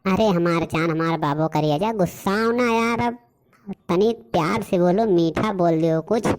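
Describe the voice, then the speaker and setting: A woman's voice: fairly low pitch, unhurried, a little mellow, natural voice. A woman voicing a lovestruck young village lad in a comic cartoon, with an Awadhi accent, coaxing and pleading with pet names. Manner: wheedling, full of pet names. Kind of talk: comic rustic cartoon dialogue.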